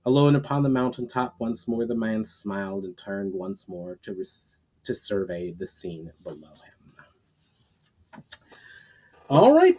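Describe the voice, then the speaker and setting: A man's voice: medium pitch, a little mellow, slight background noise, poor audio. A man speaking as an author and host of his own storytime readings. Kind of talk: dramatic audiobook-style reading, casual sign-off.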